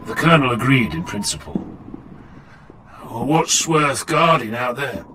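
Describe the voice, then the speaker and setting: A man playing a stern, relentless warrior chaplain, grave, measured and commanding, in a dramatic audiobook style. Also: medium pitch, animated, pushed and bright, poor audio. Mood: grave, brooding. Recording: clear noise and ambience behind.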